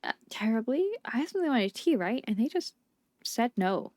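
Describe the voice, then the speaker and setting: Woman playing an intense, stubborn, high-voiced young heroine. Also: very animated, normal speed, darkish tone, no room sound. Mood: flustered. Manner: emphatic, indignant, insistent. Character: intense, stubborn, rule-bound woman, easily exasperated. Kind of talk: video game dialogue read aloud.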